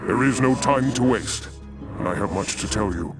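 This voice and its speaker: An actor playing a grave, wise, defiant alien high warrior in a video game, speaking with formal authority. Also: male voice, normal speed, close to an everyday voice. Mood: urgent, grave. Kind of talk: dramatic video game voice acting. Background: music.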